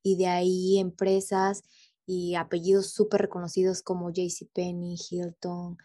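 A woman's voice: lowish voice, monotone, normal speed, dark tone. A Mexican woman, a guest on a remote interview, talking casually with many hesitations and little rhetorical tags.

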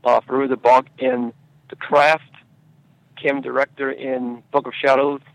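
A man answering as a screenwriter guest in a conversational interview, speaking haltingly and repeating his words and phrases. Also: medium pitch, level tone, creaky, no echo, dull audio.

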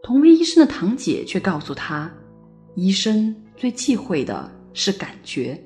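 A woman's voice: medium pitch, wildly swooping pitch, measured pace, darkish tone. A woman reading scripted narration for an audio drama. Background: music.

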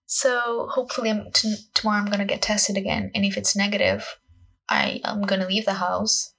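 A woman around twenty with a Portuguese accent chats casually into a solo podcast. Her voice is fairly husky, with plenty of vocal fry. She rambles in a scattered, self-conscious way, leaning on "like" as she goes. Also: mid-range voice, little pitch movement, brisk, a bit bright, rough recording. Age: young adult, about 20. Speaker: podcast host, acting student.

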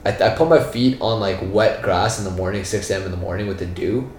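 A man's voice: fairly low pitch, quick pace, darkish tone. A man, raised in Canada by a Punjabi-speaking family, talking in a rambling, slangy casual chat. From born in India, raised in Brampton, Canada. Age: 20s. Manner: slangy, rambling, full of "yo" and "bro". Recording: roomy echo, faint background noise.

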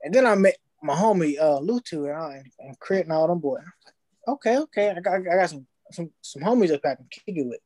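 A young man, telling his story in casual podcast chat, slangy and animated, acting out his past thoughts. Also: fairly high pitch, fast, no room sound.

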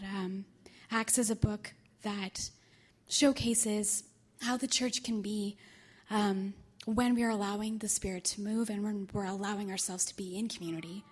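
A woman who has lost her voice, speaking hoarsely to her church congregation in a soft, searching way. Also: medium pitch. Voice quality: raspy. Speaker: church member sharing reflections. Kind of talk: reflective talk to a congregation.

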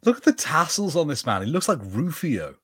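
A man's voice: on the high side, sing-song, fairly quick, fairly bright tone, husky. A man co-hosting a podcast, chatting casually over a movie and joking with made-up bits of dialogue. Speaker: podcast co-host. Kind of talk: casual watch-party banter.

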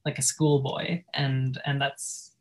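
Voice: mid-range voice, level tone, slow. A person in casual podcast chat, talking in a reflective, rambling way with plenty of fillers. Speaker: podcast guest musician.

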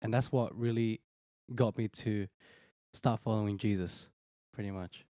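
A man's voice: on the low side, fairly even intonation, unhurried, dark tone, dry recording, clean studio sound. Laid-back young man. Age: 20s. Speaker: church leader, guest. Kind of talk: casual podcast chat. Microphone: podcast microphone.

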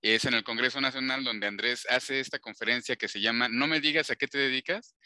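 A man's voice: medium pitch, fairly quick, pushed and bright, no room sound. A man hosting a live interview, chatty and informal. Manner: chatty, informal, with conversational tags. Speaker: interview host.

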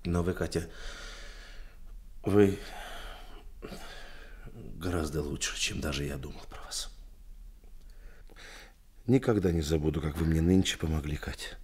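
A shy, tender middle-aged man in a radio drama. The voice is dark and husky, slow and halting, as he speaks with hushed intimacy. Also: rumbling low, full character voice. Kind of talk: intimate radio play acting.

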